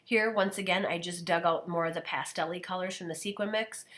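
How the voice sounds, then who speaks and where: A woman with a slightly low, soft voice narrates her craft work in a relaxed, chatty way. She is coming down with a cold and her voice is worn. She talks the listener through each small step as if to friends. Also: a little fast. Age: adult, parent of a daughter. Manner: chatty, relaxed, describing steps as she goes. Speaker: scrapbooking video host. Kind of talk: casual craft voice-over narration.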